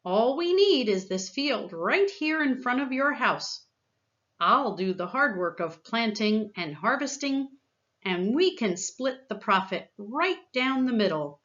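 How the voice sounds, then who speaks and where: A woman voicing a clever, persuasive male trickster hare from a storybook, pitching deals with eager patter. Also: big pitch swings, takes it slowly, a bit bright, highs cut off, heavily acted.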